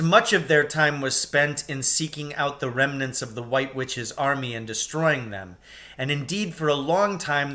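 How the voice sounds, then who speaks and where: A man narrating a children's storybook expressively, in a measured way with dramatic emphasis. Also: a little high, pushed and bright. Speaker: reader of a children's novel.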